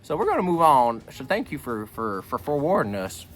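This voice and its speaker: Folksy old grandpa storyteller, played by a man, very animated pitch swoops, wisecracking memoir read-aloud, faint music behind. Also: brisk. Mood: comic griping. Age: older. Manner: rambling, repeats himself, wisecracking. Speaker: book reader. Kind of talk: reading a book aloud with rambling comic asides.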